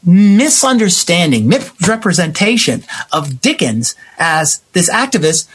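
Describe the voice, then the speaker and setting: A man's voice: high-pitched, big pitch swings, unhurried, slight background noise. A man speaking as a guest playwright and actor in a conversational interview, emphatic and given to acting out remembered exchanges.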